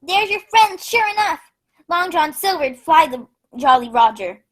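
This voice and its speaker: A woman playing an excitable, half-mad marooned with a rambling, folksy way of talking. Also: very high-pitched, measured pace, heavily acted. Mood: excitable, wary. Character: Ben Gunn, excitable half-mad marooned old pirate castaway.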